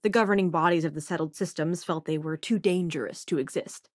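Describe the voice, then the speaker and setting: A woman's voice: lowish voice, brisk, no echo, clean studio sound, close to an everyday voice. An actor, a young woman, voicing a clever, wry and self-assured renegade scientist who crews a spaceship in a video game. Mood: matter-of-fact.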